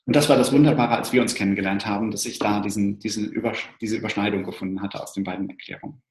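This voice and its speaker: A man's voice: lowish voice, fairly even intonation, fast talker, darkish tone. A man explaining ideas thoughtfully, with some restarts. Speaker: co-presenter. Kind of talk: explanatory talk to an online audience. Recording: roomy echo.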